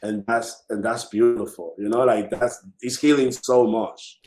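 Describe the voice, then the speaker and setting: A man's voice: medium pitch, steady tone, a little mellow. Male artist guest, Dominican Spanish accent, conversational and searching, repeats phrases, echoey room sound. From Dominican Republic. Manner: halting, repeats words and phrases as he searches for them. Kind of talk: casual conversation.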